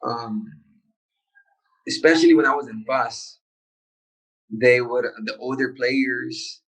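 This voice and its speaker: A young man, a soccer player born in the United States, talking in a relaxed and halting way in a casual interview. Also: mid-range voice, poor audio. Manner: laid-back, halting, with many restarts. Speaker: professional soccer player, guest.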